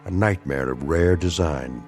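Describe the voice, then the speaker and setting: Deep, ominous male narrator. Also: a little mellow. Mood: grave, ominous. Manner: measured, portentous. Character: grave, ominous male storyteller of eerie tales. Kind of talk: dramatic scripted radio narration. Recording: music under the voice.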